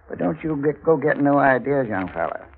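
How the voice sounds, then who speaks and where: An actor playing a folksy, amused older small-town official in a 1940s radio drama, with a creaky voice and a hemming manner. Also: male voice, medium pitch, expressive. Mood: amused, gossipy. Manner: folksy, chuckling, unhurried hemming. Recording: muffled, faintly noisy 1940s radio recording.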